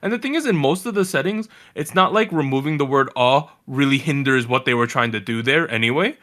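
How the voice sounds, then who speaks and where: A man's voice: mid-range voice, animated, a little fast, sharp tone. A man co-hosting a podcast in casual chat, asking puzzled, wry questions. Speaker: podcast co-host.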